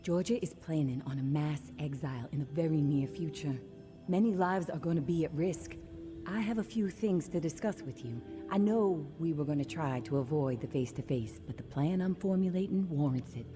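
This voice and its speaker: An actress playing a businesslike, secretive resistance organizer in a video game, delivering a message in a direct, purposeful way. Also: female voice, deep voice, normal speed, music in the background, close to an everyday voice. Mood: businesslike, grateful. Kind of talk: dramatic video game acting, spoken message.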